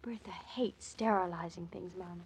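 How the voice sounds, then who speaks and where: A woman's voice: fairly low pitch, normal speed, a little hiss or hum, natural voice. An actress playing an anxious, fussy young newlywed mother with an earnest, hesitant manner, in 1940s radio drama style. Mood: worried. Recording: old 1940s radio recording.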